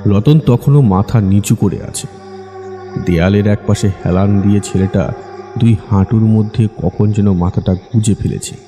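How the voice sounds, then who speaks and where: A man's voice: lowish voice, moderate pace. A man narrating a scripted ghost story for an audiobook, with long reverb on his voice. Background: soft music.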